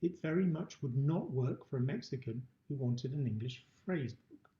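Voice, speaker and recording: Middle-aged man, instructional presenter, soft-edged warm tone, muffled roomy recording. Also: medium pitch, lively intonation, normal speed. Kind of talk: prepared instructional webinar presentation. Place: indoor room. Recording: dull, roomy webcam-style recording.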